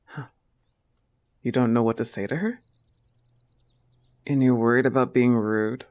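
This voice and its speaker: A man plays a goth wolf-girl girlfriend in an intimate audio roleplay. The delivery is unhurried and soft, often close to a whisper. The pitch sits at an average male level, with a tender, teasing and territorial manner. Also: steady tone, natural voice. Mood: firm, supportive. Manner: slow, soft-spoken, often dropping to breathy whispers.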